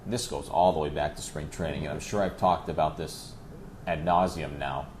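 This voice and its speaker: A man's voice: very deep voice, fairly even intonation, moderate pace, darkish tone, a little hiss or hum. A man who works as a play-by-play announcer, talking conversationally and at length as an interview guest, pressing his points with emphatic repetition.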